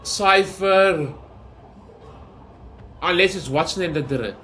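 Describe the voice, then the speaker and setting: A man's voice: sits high, slow, a bit bright, a little hiss or hum. A man doing casual playthrough commentary as a gaming streamer, musing aloud and swearing casually.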